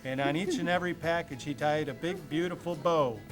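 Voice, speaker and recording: A man's voice: a little high, fairly quick. A man reading a children's storybook aloud in a playful, theatrical way. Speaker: storybook reader. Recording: music playing behind the voice.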